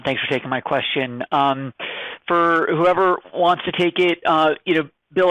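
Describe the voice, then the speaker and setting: A man's voice: fairly high pitch, sharp tone, no room sound, rough recording. A man asking a conversational, wordy question as a financial analyst on an earnings call.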